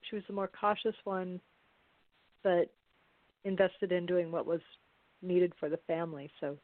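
A woman's voice: fairly low pitch, fairly even intonation, normal speed, dry recording. A woman answering casually as an interviewed cast member, hesitant and repeating her phrases. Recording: dry, narrow phone-like call audio.

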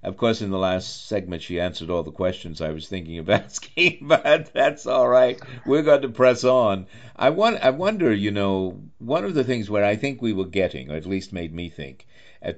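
A man hosting a radio talk show in a conversational interview style. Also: low-pitched. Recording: dull band-limited radio audio.

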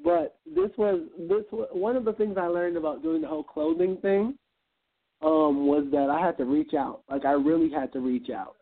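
A man's voice: a little high, normal speed. A man from Guyana, a guest on an internet radio interview, speaking in an earnest, reflective way with many ums and uhs. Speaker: guest, event planner and entrepreneur. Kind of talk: motivational interview talk. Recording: tinny phone line.

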